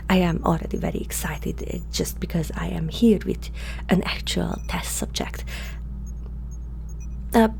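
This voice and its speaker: Woman playing a slow, dark-voiced curious alien scientist. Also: fairly low pitch, creaky, slight background noise, poor audio. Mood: helpful, slightly puzzled. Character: curious, eager, polite alien scientist, reassuring, slightly awkward novice. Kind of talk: ASMR roleplay addressed to the listener.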